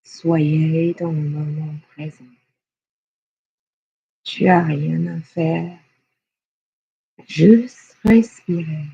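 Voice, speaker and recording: A person guiding a yoga nidra relaxation in a hushed, soothing, unhurried way with long pauses. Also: medium pitch, steady tone, vocal fry, husky, highs cut off. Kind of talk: guided relaxation meditation.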